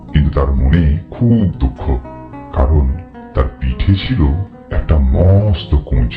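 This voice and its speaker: A man's voice: a bass voice, narrow, tinny audio. A man narrating a fairy tale from a script as the storyteller. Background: plucked guitar music.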